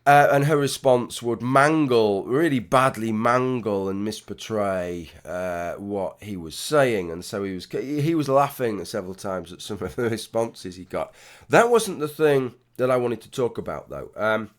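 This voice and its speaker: A man, hoarse and gravelly after a late night, talking through casual vlog commentary with blunt swearing and many restarts. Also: lowish voice, animated, a little slow. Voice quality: gravelly, husky. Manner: rambling, frequent restarts, blunt swearing, wry asides. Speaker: YouTube commentator.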